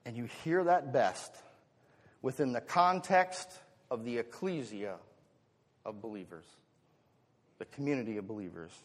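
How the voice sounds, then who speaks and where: A man's voice: mid-range voice, animated, unhurried, long pauses. American male preacher in a reverberant hall. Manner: rhetorical questions, conversational asides, emphatic swells. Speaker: pastor preaching to congregation. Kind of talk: sermon. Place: large reverberant church hall.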